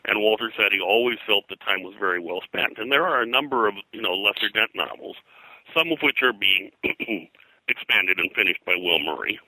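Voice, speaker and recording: A man talking informally as an interview guest and historian, rambling and haltingly, often repeating words. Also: fairly low pitch, animated, pushed and bright, no echo, rough recording. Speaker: guest, pop culture historian. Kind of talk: informal interview talk about pulp fiction history.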